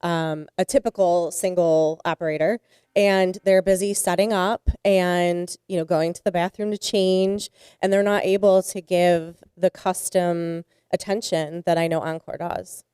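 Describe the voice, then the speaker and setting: A wedding officiant speaking in an earnest, emphatic way. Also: female voice, lowish voice, fairly even intonation, measured pace, dark tone, smooth, no echo, studio quality. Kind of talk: casual chat.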